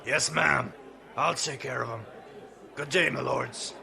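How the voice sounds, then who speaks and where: Man acting a gruff, gravelly veteran space pirate. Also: mid-range voice, measured pace, bright, forceful tone, heavily acted. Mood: nervously deferential. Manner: brusque, colloquial grammar. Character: gruff, impatient male veteran pirate crewman bossing a rookie. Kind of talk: audio drama acting.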